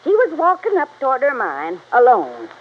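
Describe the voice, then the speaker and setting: A woman's voice: high-pitched, wildly swooping pitch, moderate pace, heavily acted. Folksy old small-town lady, swooping delivery. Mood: gossipy. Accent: rural American. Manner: folksy, rambling, exclaiming. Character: folksy, chatty, flustered older small-town widow. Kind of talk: old-time radio drama acting. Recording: dull, hissy vintage radio recording.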